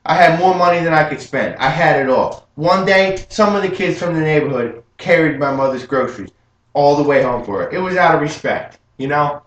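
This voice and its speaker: A young man playing an adult gangster who proudly recalls his youth, telling it earnestly and rising with excitement, as a memorized film monologue. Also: on the high side, fairly quick, muffled recording, pitched above the performer's own voice. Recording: roomy room with faint hum.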